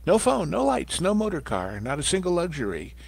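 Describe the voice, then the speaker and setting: An animated man co-hosting a casual commentary, jokey and quick to restart his words. His pitch leaps around a lot. The recording is dry and close. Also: creaky, husky, no echo. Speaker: podcast co-host.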